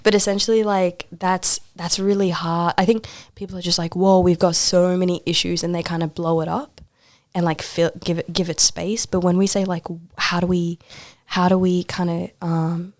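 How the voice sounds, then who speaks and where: A woman's voice: lowish voice, little pitch movement, moderate pace, darkish tone, no room sound, clean studio sound. A woman chatting casually as an interview guest, informal and emphatic, repeating phrases for stress. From Australia. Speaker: podcast guest, poet and social worker.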